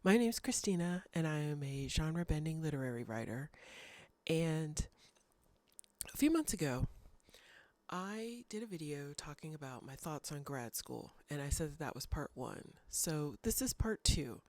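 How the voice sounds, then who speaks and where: A woman's voice: sits low, expressive, normal speed, darkish tone, no room sound. A woman in her thirties hosting a writing vlog, a little congested from a cold, talking thoughtfully and meandering with fillers. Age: 30s. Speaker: writing channel host. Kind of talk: casual talking-head vlog.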